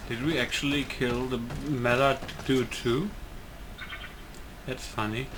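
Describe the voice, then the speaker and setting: A man's voice: medium pitch, steady tone, slow. Offhand male gamer over noisy game ambience. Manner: offhand, rambling. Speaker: video game streamer. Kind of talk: casual let's-play commentary. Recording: clear background noise and game ambience.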